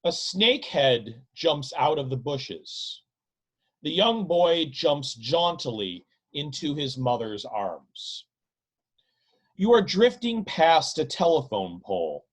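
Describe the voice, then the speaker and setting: A man reading his own novel aloud as literary narration, in a deliberate, dramatic manner with drawn-out, emphatic phrasing. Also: mid-range voice, a bit bright.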